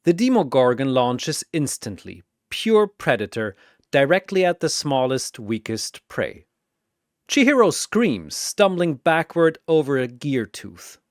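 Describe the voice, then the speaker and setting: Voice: medium pitch, big pitch swings, a little slow, no echo, clean studio sound. A narrator delivering dramatic scripted battle storytelling in an emphatic, portentous, announcer-like manner.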